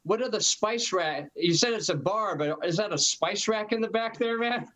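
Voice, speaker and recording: A man's voice: high voice, normal speed, fairly bright tone. A male comedian, a guest on a podcast, telling stories in a jokey, chatty way. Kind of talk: casual interview chat.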